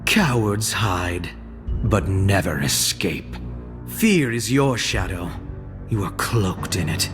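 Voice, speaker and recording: An actor voicing a cold, arrogant young male shadow assassin in a game, menacing and measured. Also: low voice, lively intonation, over music. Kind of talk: game voice lines.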